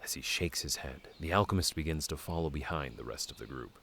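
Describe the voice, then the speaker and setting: A man's voice: extremely low pitch, expressive, a little fast, fairly bright tone, a little hiss or hum. A man reading scripted narration for a fantasy audio drama.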